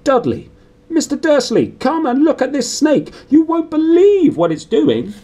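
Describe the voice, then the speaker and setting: A man with a British accent playing an excitable, pushy boy shouting loudly in a storybook. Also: unhurried, exaggerated voice. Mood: excited shouting. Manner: shouting, high and urgent.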